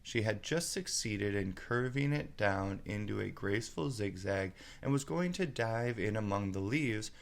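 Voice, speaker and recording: Guy reading a storybook out loud, lowish voice, real creaky, takes it slow. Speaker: read-aloud storyteller. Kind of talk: scripted storybook narration.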